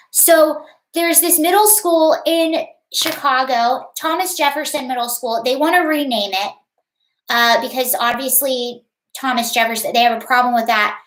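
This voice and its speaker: Woman host, very high voice, chatty and rambling, casual livestream, echoey room. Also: a bit bright. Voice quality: high-pitched. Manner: chatty, rambling, greets viewers by name.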